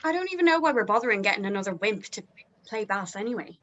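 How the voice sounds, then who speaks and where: A woman plays an abrasive rocker in a drama table read. Her bright, hard-edged voice is very animated, with a sneering, combative manner. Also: medium pitch, quick pace, highs cut off, lightly acted. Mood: scornful. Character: foul-mouthed, abrasive female heavy metal guitarist.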